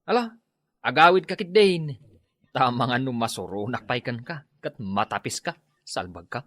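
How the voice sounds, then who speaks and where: A man's voice: medium pitch, expressive, normal speed, a bit bright. A man with a Filipino Ilocano accent playing a stingy, dismissive neighbour, curt and mocking, in a radio comedy drama. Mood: mocking, irritated. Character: stingy, dismissive neighbour scolding a lazy moocher.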